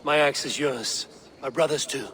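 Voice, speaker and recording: A man's voice: medium pitch, steady tone, moderate pace, fairly bright tone, slight background noise, natural voice. An actor playing a blunt, loyal male Viking warrior in a video game cutscene. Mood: steady, resolute.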